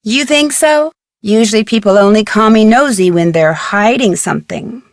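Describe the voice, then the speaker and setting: A woman's voice: mid-range voice, moderate pace, no echo, studio quality, natural voice. A woman playing a friendly, upbeat young detective who chattily guides a player through a game tutorial. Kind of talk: scripted game tutorial narration.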